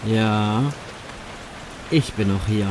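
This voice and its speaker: An actor playing a grumbling, unimpressed crook in a comic radio play, with a dry manner. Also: male voice, fairly low pitch, steady tone, very slow. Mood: resigned. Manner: dry, complaining. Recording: clear rain noise behind voice.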